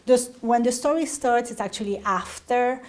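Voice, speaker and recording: A woman's voice: fairly high pitch, moderate pace. A woman in her thirties from Haiti, telling personal stories conversationally as an interview guest.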